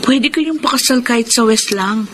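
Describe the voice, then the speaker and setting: Actress as a concerned Filipino friend, faint background noise. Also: female voice, a little high, normal speed, natural voice. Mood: concerned, advising. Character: concerned, moralizing female friend and pawnshop co-worker. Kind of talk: Filipino radio drama acting.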